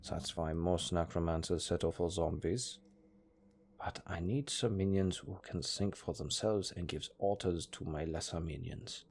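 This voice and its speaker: Guy doing a dry unhurried necromancer master for audio roleplay, german accent, real deep n croaky, bit condescending. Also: a little mellow, a little hiss or hum. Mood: commanding, coolly menacing. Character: cold, dryly matter-of-fact male necromancer master.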